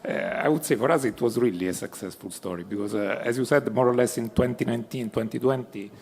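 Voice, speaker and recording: A man from Italy with an Italian accent, speaking as a panelist at a business forum and pausing often on fillers. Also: medium pitch, a little mellow, a little hiss or hum. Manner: hesitant, many fillers, non-native phrasing. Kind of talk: panel discussion. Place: club event hall.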